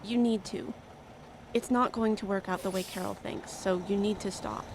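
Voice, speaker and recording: A woman playing a sullen, defeated youngest daughter in a radio drama, speaking in terse, resigned phrases with a creaky voice. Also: mid-range voice, fairly even intonation, normal speed, close to an everyday voice. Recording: faint outdoor roadside noise.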